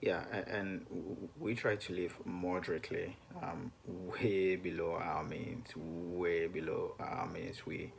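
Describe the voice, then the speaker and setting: A man's voice: low voice, level tone, measured pace, vocal fry, hardly any pauses, slight background noise. A young man co-hosting a personal finance chat to camera, talking hesitantly with many ums and restarts. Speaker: co-host of a personal finance channel.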